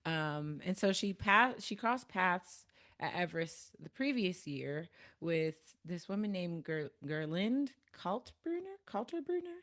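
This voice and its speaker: Chatty Midwestern woman, lively low voice. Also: measured pace. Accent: American Midwest. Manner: chatty, laughs often, many fillers. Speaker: podcast co-host. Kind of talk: casual book discussion.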